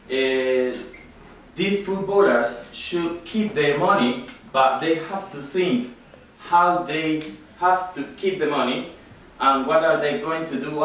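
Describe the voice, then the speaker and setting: A man from Ecuador with a Spanish accent gives an informal classroom presentation in halting, non-native English, often checking with a short tag question. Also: a little high, fairly bright tone. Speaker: student presenter, football coach. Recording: very echoey room, distant mic, noisy.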